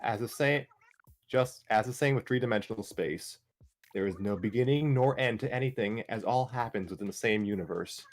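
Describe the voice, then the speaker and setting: Futuristic toad creature, played by a man: low voice, lofty, pedantic, calmly explaining things to lesser minds. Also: normal speed, close to an everyday voice. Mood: condescending.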